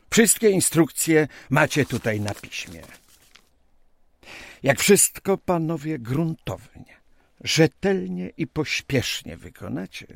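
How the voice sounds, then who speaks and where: Period radio drama: male actor as businesslike, genial president, formal and expansive, animated pitch, close dry sound. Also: creaky, dry recording, exaggerated voice. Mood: pleased, brisk. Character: genial, businesslike company president of 1820s Poland.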